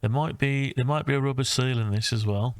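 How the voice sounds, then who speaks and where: A man talking through his own design project informally, thinking aloud with hesitant, halting phrases. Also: medium pitch, dry recording, studio quality. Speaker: hobbyist maker presenting own design project. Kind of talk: informal screen-recorded CAD design walkthrough.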